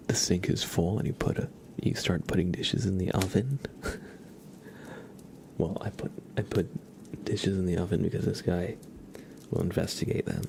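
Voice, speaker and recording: A man hosting an ASMR livestream, speaking softly in a murmur that often slips into whispers. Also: low voice, creaky, a little hiss or hum. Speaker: livestream host. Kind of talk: soft-spoken ASMR livestream.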